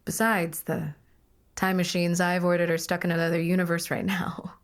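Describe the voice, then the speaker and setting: A woman playing a calm, reassuring dream therapist in an audio drama, speaking in a measured, gently humorous way. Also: lowish voice, natural voice. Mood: lightly amused. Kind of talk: naturalistic audio drama dialogue.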